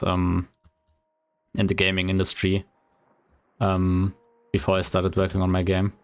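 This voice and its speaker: A man's voice: on the low side, vocal fry. A man with a German accent, a game developer chatting casually on a livestream in a rambling, hesitant way. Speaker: indie game developer streaming.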